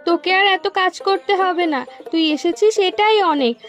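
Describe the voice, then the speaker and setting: Woman as a kindly, high-voiced village auntie. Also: expressive, normal speed. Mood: affectionate. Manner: affectionate, reassuring. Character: kindly, generous village auntie running a food stall. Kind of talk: cartoon dialogue. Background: background music.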